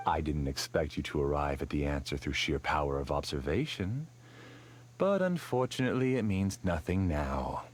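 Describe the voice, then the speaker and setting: A man's voice: very deep voice, animated, moderate pace. A man voicing a bitter, scheming male dream master in a video game, brooding and defiant. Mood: scornful.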